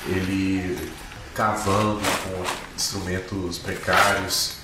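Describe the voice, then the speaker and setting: A man acting as game master, narrating scenes descriptively in measured short phrases with pauses between them. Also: deep voice, flat delivery. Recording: echoey, distant, with music behind. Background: background music with guitar.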